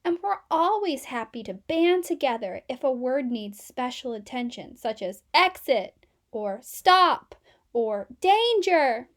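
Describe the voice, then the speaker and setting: Casting: woman as whimpering, self-important alphabet letter for a storybook, high-pitched, swooping melodrama. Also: sing-song, slow pace, heavily acted. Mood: proud, plaintive. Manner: dramatic, plaintive. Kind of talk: children's storybook read-aloud.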